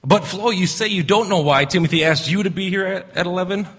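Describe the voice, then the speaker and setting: An actor playing an anxious, shifty financial adviser with a nervous, halting delivery in an old-time radio drama. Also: male voice, high voice, fairly bright tone. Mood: nervous, scheming. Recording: reverberant stage hall.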